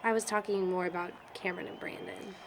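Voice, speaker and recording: A woman's voice: on the low side, fairly even intonation, fast, a little hiss or hum, close to an everyday voice, low-quality recording. A young woman playing a hesitant, wry character in an audio drama. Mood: thoughtful, uneasy. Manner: hesitant, searching for words.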